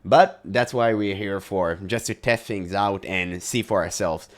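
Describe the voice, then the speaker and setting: Low-voiced male gamer getting over a cold. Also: unhurried. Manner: informal, reacting aloud, mild swearing. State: recovering from a cold, voice recently lost. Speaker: video game content creator, host. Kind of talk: casual gaming commentary.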